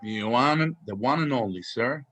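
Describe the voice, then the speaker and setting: A man's voice: mid-range voice, lively intonation, a little slow, a bit bright. A man playing a dutiful army sergeant at a command post in a screenplay table read, reporting formally and plainly. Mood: matter-of-fact.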